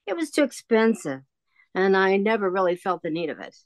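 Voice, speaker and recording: A woman's voice: medium pitch, very animated, a little fast, dry recording, studio quality, close to an everyday voice. A woman playing a genial, wistful older shopkeeper in an audio drama, chatty and nostalgic.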